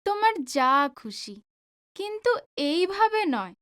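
A woman's voice: very high-pitched, sing-song, a little slow, exaggerated voice. A woman playing a pouting, headstrong, lovestruck young woman in an audio drama, petulant and pleading. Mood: coy, insistent. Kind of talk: Bengali audio drama acting.

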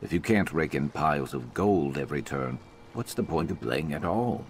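An actor playing a gruff, world-weary adventurer companion in a fantasy game, with a blunt, dry, sardonic manner. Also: male voice, rumbling low, expressive, moderate pace, slight background noise. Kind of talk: scripted fantasy game voice acting.

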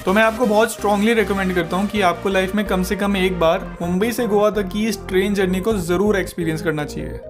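Upbeat high-voiced man narrating travel. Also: fairly even intonation, quick pace, hardly any pauses, over music. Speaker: travel channel host. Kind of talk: scripted travel voice-over.

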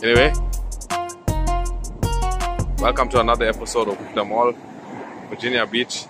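A man's voice: medium pitch, normal speed, over music. A middle-aged man with a South African accent, chatting casually and rambling as he films his fishing trip. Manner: relaxed, rambling, filler-heavy. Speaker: fishing vlogger. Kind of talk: casual vlog narration. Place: open beach by the surf. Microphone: handheld camera mic. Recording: windy beach with loud surf.

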